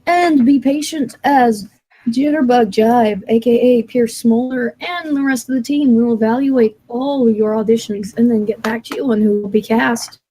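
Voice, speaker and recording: A woman's voice: a little high, normal speed, dark tone, slightly rough, low-quality recording. A woman co-hosting a casual panel talk and making announcements to the listeners. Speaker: podcast co-host making announcements.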